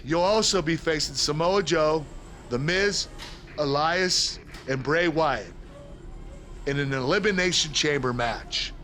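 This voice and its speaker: A man playing a calm backstage wrestling manager who explains things in a scripted game cutscene. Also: fairly high pitch, slow, slight background noise. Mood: matter-of-fact.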